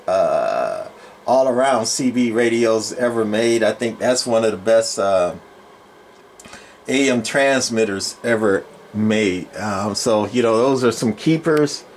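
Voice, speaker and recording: Rambling man over noticeable background noise. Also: mid-range voice. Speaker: collector presenting his radio collection. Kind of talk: casual unscripted show-and-tell.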